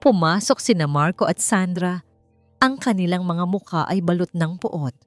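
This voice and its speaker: A woman narrates scripted romantic drama in a fairly low voice. Faint music plays underneath her storytelling. The delivery is that of a dramatic story narrator. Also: moderate pace. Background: background music.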